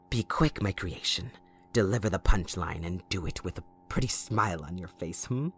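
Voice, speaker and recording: A man playing a sadistic, possessive supervillain kidnapper in an audio roleplay, taunting theatrically and mock-sweetly, moving between hushed menace and outbursts. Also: on the low side, breathy edge, heavily acted. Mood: gloating, menacing. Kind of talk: dramatic audio roleplay monologue.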